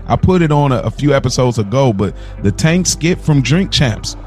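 A man's voice: medium pitch, animated, moderate pace, soft background music. A man co-hosting a podcast, speaking earnestly in long run-on stretches and repeating himself as he builds a point. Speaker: podcast co-host. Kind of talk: casual chat.

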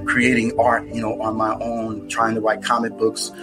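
An American man being interviewed about his book, speaking in an earnest, reflective and halting way. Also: lowish voice, monotone. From United States. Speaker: author guest. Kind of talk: podcast interview. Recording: music playing underneath.

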